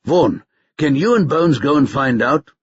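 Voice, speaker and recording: A man voicing an urgent male police captain giving brisk orders. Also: a little high, lively intonation, breathy edge, dull audio.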